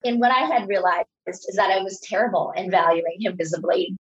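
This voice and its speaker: A woman's voice: mid-range voice, steady tone, brisk. A woman in her thirties, an author being interviewed, telling stories in an earnest, conversational way and often restarting her sentences. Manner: earnest, anecdotal, repeats words when starting. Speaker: author and guest. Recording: slightly dull, lo-fi audio.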